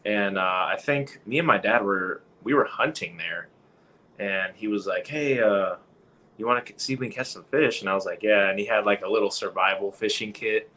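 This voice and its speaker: A man in his twenties, a podcast guest chatting in a relaxed way, laughing easily and saying "like" often. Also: lowish voice, fairly quick. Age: 20s. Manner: laid-back, laughs often, says "like" a lot. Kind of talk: casual livestream chat.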